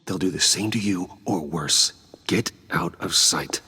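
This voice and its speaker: An actor playing a grave, guarded, protective man in a dramatic video game, with terse, hushed delivery. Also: low voice, measured pace, breathy edge, heavily acted. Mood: urgent.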